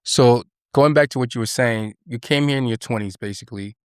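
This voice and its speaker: A man's voice: medium pitch, a little fast, clear, resonant tone, no echo, studio quality. A man hosting an interview, asking questions in a casual, brotherly way. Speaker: host.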